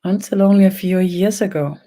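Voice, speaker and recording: A speaker from Denmark with a Danish accent and a gravelly creak leads a guided energy meditation online, speaking haltingly in short phrases with long pauses. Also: medium pitch, dark tone. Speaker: spiritual energy healer leading an online session. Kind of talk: slow guided meditation and channeled talk.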